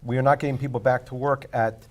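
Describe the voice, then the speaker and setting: A man's voice: mid-range voice, steady tone, fast. A man on a TV panel giving blunt, opinionated takes on the news. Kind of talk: casual panel discussion.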